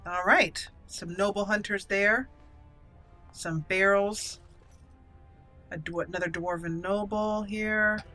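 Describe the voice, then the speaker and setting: Bright-voiced woman gaming host. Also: medium pitch, fairly even intonation, takes it slowly, long pauses, faint music behind. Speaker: let's play host. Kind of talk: gameplay commentary and roleplay narration.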